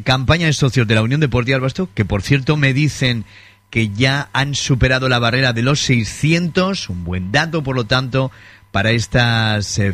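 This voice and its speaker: Radio sports host drawling between phrases. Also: male voice, mid-range voice, a bit bright, dry recording. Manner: drawn-out, fills pauses with "eh". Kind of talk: radio presenting.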